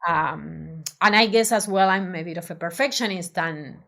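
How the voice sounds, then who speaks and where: Spanish-accented woman, low-pitched, hesitant. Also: normal speed, creaky, a bit bright. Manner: hesitant, many restarts and fillers, thoughtful. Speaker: design professional, podcast guest. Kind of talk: casual interview conversation.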